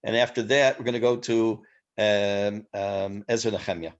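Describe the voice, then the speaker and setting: A man's voice: fairly low pitch, steady tone, moderate pace, lo-fi sound. A man hosting and teaching a Torah class, talking casually and thanking his guest effusively with many fillers.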